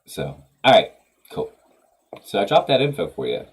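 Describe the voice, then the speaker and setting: A man's voice: on the low side, wildly swooping pitch, normal speed, heavy background noise. A man in his thirties hosting a music livestream from a home studio, talking in a rambling casual way with lots of ums, his nose stuffed up from a cold. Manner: rambling, many ums and restarts, laughs easily. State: congested from a cold. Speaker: livestream host of a music workshop.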